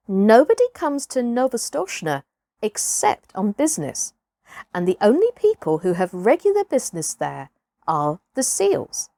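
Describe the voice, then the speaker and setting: Female children's audiobook narrator: higher-pitched, highly animated, theatrical delivery. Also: no echo, clean studio sound. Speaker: storyteller, actress reading aloud.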